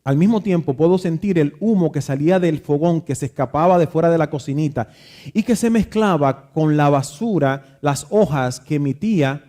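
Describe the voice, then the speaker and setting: A man with a Dominican accent, reading scripture aloud and then telling a reflective story about growing up, pausing and restarting now and then. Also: a little high, mellow. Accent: Dominican Spanish. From Dominican Republic. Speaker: preacher. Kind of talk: Bible reading and devotional talk.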